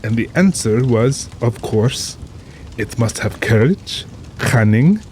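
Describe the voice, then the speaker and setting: A suave, pompous aristocratic general, rain behind. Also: male voice, mid-range voice, animated, slow pace, a little mellow, slight background noise. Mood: amused, proud. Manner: pompous, suave, amused, formal diction. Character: urbane, cold-blooded older aristocratic general, a big-game hunter. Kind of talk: dramatized audiobook acting.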